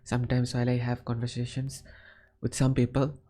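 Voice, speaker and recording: A man's voice: mid-range voice, completely flat delivery, fairly quick, dark tone. A man from India with an Indian English accent, a teacher chatting in English over a call, often restarting his phrases. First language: Bengali. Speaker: teacher and host. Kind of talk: casual conversation.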